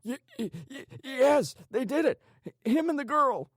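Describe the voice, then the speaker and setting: A man's voice: very high-pitched, normal speed, no room sound, studio quality, voice pushed up. A man voicing a terrified old man in an audiobook, stammering and pleading in agony. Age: older. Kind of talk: audiobook character dialogue.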